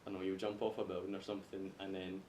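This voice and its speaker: Scottish lad with a lowish voice rambling on a podcast, echoey, faint noise behind. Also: male voice, completely flat delivery, fast, a little mellow. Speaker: podcast panellist. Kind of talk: casual chat about video games.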